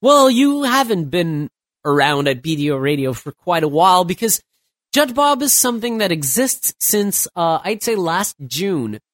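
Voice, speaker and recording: A man's voice: high voice, very animated, normal speed, a bit bright, no echo. A man in his thirties hosting his own podcast alone late at night. He is stuffed up from a cold and rambles loosely, with many restarts and fillers. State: stuffed up, getting over a cold. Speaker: podcast host.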